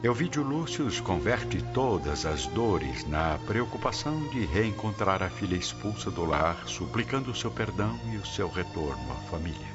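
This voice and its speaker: Very deep-voiced solemn male narrator. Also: sing-song, normal speed, hardly any pauses. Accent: Brazilian. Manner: solemn, literary. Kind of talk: dramatic scripted audiobook narration. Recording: dull audio under loud dramatic music.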